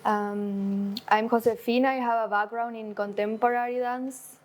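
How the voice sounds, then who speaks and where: A woman's voice: fairly high pitch, steady tone, moderate pace, a little hiss or hum. A woman from Argentina, an artist with a dance background, presenting a talk to an audience in a friendly, plain way with some hesitant fillers.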